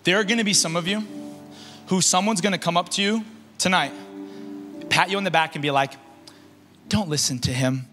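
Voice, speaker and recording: A young man preaching to a youth conference on a large stage into a handheld mic, alternating shouted emphasis with low, soft asides. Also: a little fast, pauses often. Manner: paces and gestures across the stage. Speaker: youth pastor. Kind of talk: energetic sermon. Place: large stage in a concert hall. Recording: reverberant hall with faint music.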